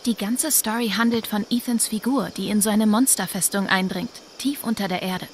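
An actress voicing an earnest, self-doubting young woman in a video game dub, soft-spoken and pensive. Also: medium pitch, steady tone, normal speed, slight background noise, lightly acted. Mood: focused, puzzling out. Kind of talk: dubbed video game acting.

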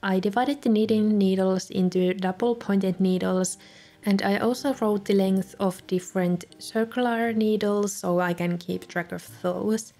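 A young woman, a native Finnish speaker, calmly narrating a voice-over for her video with soft laughs between remarks. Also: mid-range voice, measured pace. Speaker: journaling video creator. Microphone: voice-over recorded separately.

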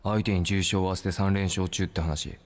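An actor playing a brash, hot-headed young fighter with rough, blunt street speech in a video game. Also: male voice, low voice, steady tone, fairly quick, slight background noise, close to an everyday voice. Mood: indignant. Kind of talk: dramatic video game cutscene acting.

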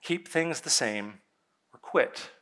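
A man, a pastor preaching a sermon on a church stage, conversational and emphatic, with dramatic pauses and soft drops in his voice. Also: mid-range voice, lively intonation. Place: church stage before a seated congregation.

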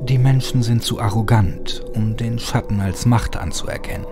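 A man's voice: lowish voice, normal speed, gravelly, over music. A man playing a cold, vengeful killer, menacing and accusing, in a horror audiobook. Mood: cold, scornful.

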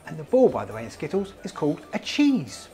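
A man's voice: on the high side, wildly swooping pitch, fairly quick, dark tone. A British man in his forties presenting a scripted promotional piece to camera in a chatty, enthusiastic way. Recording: clean voice over background music. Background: music.

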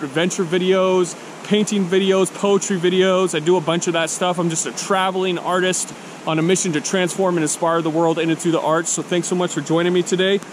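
Young man, outdoor vlogger, slightly high voice, noisy camera audio, eager and chatty. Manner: enthusiastic, chatty, talks to camera and his dog. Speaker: vlogger, rockhound. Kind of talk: casual outdoor vlog narration. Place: outdoors on a rocky riverbank in a forest. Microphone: action camera held at arm's length.

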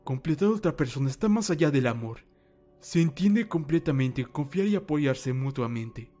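A man playing a calm, wise old mentor in a fan dub, speaking formal Latin American Spanish in a measured, reassuring way. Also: on the high side, soft background music, natural voice.

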